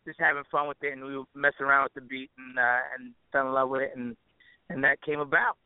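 A man's voice: mid-range voice, fairly even intonation, fast talker, smooth, no room sound, phone-quality sound. A man chatting casually as an interview guest, with informal, slangy phrasing and frequent "you know" asides. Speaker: interview guest, musician and producer.

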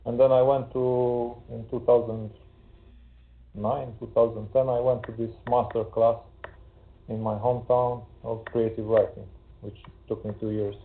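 A poet and translator from Romania, a Hungarian speaker, talking hesitantly with many fillers over a video call. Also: male voice, mid-range voice, level tone, measured pace, creaky. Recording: tinny call audio.

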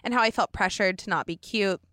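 A woman's voice: medium pitch, fairly quick, fairly bright tone, no echo. Young female podcast host, frank, laughs easily, close dry sound. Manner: candid, laughing, swears freely. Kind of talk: casual interview chat. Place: comedy shop at a party.

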